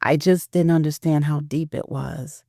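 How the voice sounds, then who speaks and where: A low, dry voice from a woman reading the part of a middle-aged husband in an audio drama. He is gentle and steady, remorseful and earnest. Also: moderate pace, no room sound. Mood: earnest, patient. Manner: soft, earnest, firm.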